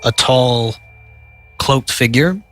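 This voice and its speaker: A young man with a nasal voice, narrating a tabletop game as game master, halting and filling pauses with "uh" and "like". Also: medium pitch, lively intonation, a bit bright, soft background music. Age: young adult.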